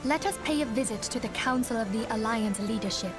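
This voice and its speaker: A woman's voice: a little high, fairly quick, lightly acted. An actress playing a composed female leader in a game cutscene, polite and formal, but pressed for time. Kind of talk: voiced video game cutscene. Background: game music.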